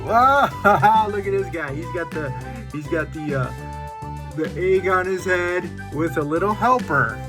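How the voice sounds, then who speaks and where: A man walks children through a drawing lesson in a playful, encouraging voice. His pitch leaps around expressively while he keeps an unhurried pace, and soft music plays faintly behind him. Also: animated. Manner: playful, encouraging, talks to kids directly. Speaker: children's drawing tutorial host.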